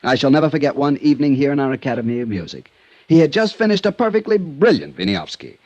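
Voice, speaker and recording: An actor playing a fussy, solemn middle-aged insurance executive in a 1950s radio drama, earnest and prone to rambling. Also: male voice, mid-range voice, sing-song, quick pace, a little mellow, highs cut off, close to an everyday voice.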